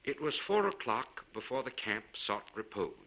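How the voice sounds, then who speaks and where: A man reading a literary story aloud in a measured, formal and expressive way. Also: on the low side, gravelly, rough recording. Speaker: audiobook narrator.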